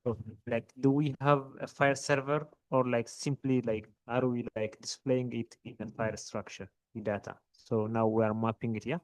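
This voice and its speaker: A man from Ethiopia with an Ethiopian accent, asking a question at a conference in a halting way. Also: mid-range voice, little pitch movement, no echo, low-quality recording. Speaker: audience questioner, interoperability lead.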